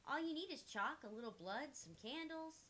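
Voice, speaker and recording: Casting a woman as a curious young witch for a visual novel: high voice, animated, flippant, slight hiss. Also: normal speed. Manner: quippy, conversational. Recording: hissy background noise.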